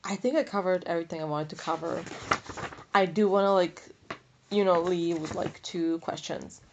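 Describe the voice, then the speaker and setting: A woman with a slightly low voice talks casually, as if working out her opinions on the spot. She rambles and doubles back, using informal slang and swearing freely. The style is that of an unscripted book review podcast. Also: normal speed, highs cut off, slight background noise. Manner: rambling, opinionated, thinking aloud.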